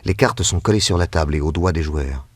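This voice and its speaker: An actor playing a calm, shrewd middle-aged detective in a radio drama, speaking in a measured, grave and deliberate way. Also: male voice, very deep voice, slightly rough. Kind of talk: 1940s radio acting.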